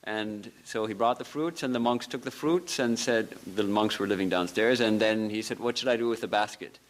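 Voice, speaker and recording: A man with a fairly low voice gives a temple lecture as a monk. He tells small stories with soft laughter and builds his points through earnest repetition. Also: moderate pace, poor audio. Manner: storytelling, with soft laughs and earnest repeated phrases. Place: temple hall.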